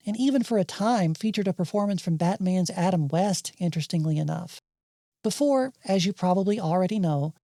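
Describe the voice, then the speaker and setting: A woman's voice: medium pitch, dark tone, no room sound, clean studio sound. A woman hosting and narrating a scripted video essay. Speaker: narrator and host.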